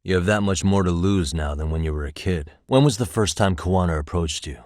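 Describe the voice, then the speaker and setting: Deep-voiced, composed American detective played by a man. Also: fairly quick, a little mellow, dry recording, clean studio sound, close to an everyday voice. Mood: probing, firm. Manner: controlled, pointed, dryly sardonic questioning. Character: male detective, composed, probing, wry and tough. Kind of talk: video game voice acting, dramatic interrogation dialogue.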